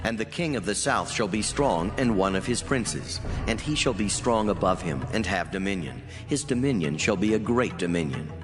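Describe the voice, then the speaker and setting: Solemn angelic messenger played by a man, low voice, measured prophetic declamation, clear music behind. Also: lively intonation, lightly acted. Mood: grave, prophetic. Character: solemn heavenly male messenger delivering prophecy. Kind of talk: dramatized scripture reading. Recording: long hall reverb under clear music.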